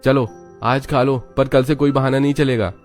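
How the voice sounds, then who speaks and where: A man's voice: medium pitch, fairly even intonation, normal speed, pitched above the performer's own voice, natural voice. A man voicing an exasperated young husband in a scripted cartoon story, talking in a weary, lecturing way. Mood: scolding. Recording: music under the voice.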